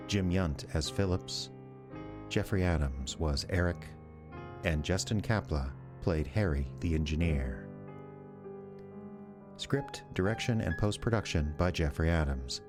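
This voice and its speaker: A man announcing the closing credits of a radio drama in a scripted, presentational style. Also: deep voice. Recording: music under the voice. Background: music.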